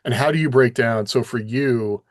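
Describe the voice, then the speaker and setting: A man's voice: medium pitch, normal speed, no room sound, studio quality. A man hosting a podcast in casual discussion, talking conversationally as he explains and asks questions. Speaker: podcast host.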